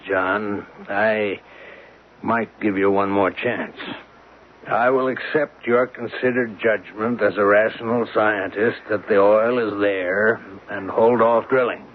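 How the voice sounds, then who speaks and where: An actor playing a firm, businesslike oil drilling boss in an old radio drama, matter-of-fact and decisive. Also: male voice, fairly low pitch, unhurried, natural voice. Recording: muffled, hissy old radio recording.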